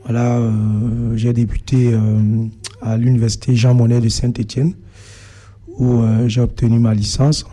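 A young man with a Cameroonian accent giving a personal account as an interview guest, speaking haltingly with many filled pauses. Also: mid-range voice, level tone, mellow. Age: young adult. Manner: hesitant, halting delivery with frequent filled pauses.

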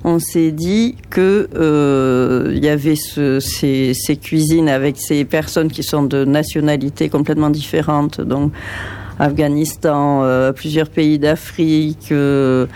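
A woman coordinating a community cooking project, explaining it slowly with many filler pauses. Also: low voice, fairly even intonation, dark tone. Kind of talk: interview in a kitchen.

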